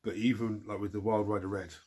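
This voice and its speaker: A low-voiced man with a husky, breathy tone, talking casually to his viewers. He sounds blocked up from a cold and tends to ramble, doubling back and restarting his words. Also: level tone, fast, mellow. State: congested from a cold. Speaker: hobby channel host answering viewer questions. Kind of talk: casual chat.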